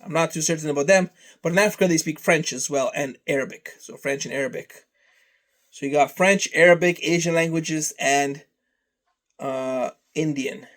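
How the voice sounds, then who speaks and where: A man whose first language is Serbian, talking slowly and rambling through a casual vlog, trailing off into long lists. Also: fairly high pitch, a bit bright. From Canada. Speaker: vlogger.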